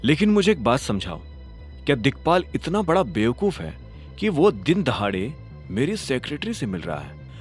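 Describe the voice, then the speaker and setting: A male narrator voicing a calm, determined young hero in a storybook audio drama. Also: medium pitch, normal speed, soft background music.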